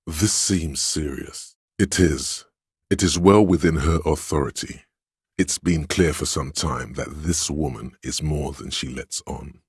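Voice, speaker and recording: A very deep, imperious authority voice. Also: male voice, husky, no room sound, clean studio sound, exaggerated voice. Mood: grave, authoritative. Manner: grave, imperious, measured. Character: stern, proud inner voice of authority, commanding and domineering. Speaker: video game voice actor. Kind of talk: dramatic game narration in second person.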